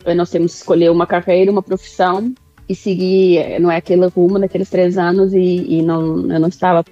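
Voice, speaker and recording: A woman in her mid-thirties from Brazil, with a Brazilian accent tinged by European Portuguese, speaking as a guest in a remote interview in a reflective, halting way with restarts. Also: on the low side, little pitch movement, dark tone, no echo, highs cut off, faint music behind. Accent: Brazilian with European Portuguese touches. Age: mid-30s.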